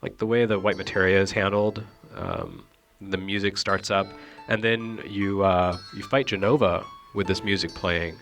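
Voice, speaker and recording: A man's voice: low-pitched, moderate pace, creaky, fairly bright tone, faint music behind. A man giving casual, joking, rambling commentary on video game cutscenes in a sardonic way. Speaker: reviewer and co-host.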